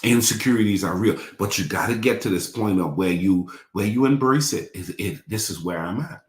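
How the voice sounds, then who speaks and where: A man's voice: fairly low pitch, moderate pace, echoey room. A man in his forties preaching a sermon, repeating his points and leading the congregation in affirmations. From Bahamas. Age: 40s. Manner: repetitive call-and-response preaching, leads congregation in affirmations. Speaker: pastor.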